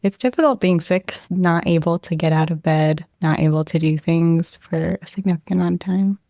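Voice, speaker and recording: A young woman, a medical resident, answering interview questions in a soft, reflective way, often hedging with "I think" and "like.". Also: on the low side, normal speed, no room sound, studio quality. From Israel, raised near Boston.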